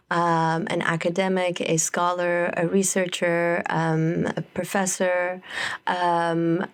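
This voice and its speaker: Woman podcast host, lower voice, friendly, lots of um, teasing. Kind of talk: conversational interview hosting.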